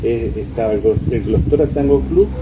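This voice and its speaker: A man's voice: mid-range voice, moderate pace. An older man with an Argentine accent, sharing memories in a casual, anecdotal chat. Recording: distant from mic, noisy room.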